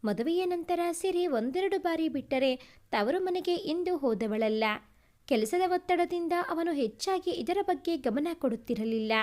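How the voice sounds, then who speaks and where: High-pitched woman narrating. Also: a little mellow. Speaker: storyteller, narrator. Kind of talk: scripted narration of a sentimental family story.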